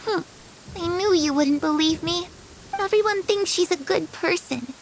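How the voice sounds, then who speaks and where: Forlorn young girl, very high voice, confiding, video game acting, faint background noise. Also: a little slow, poor audio. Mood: bitter, resigned. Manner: bitter, hurt, confiding. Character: sad young girl, scarred and disillusioned, speaking wistfully.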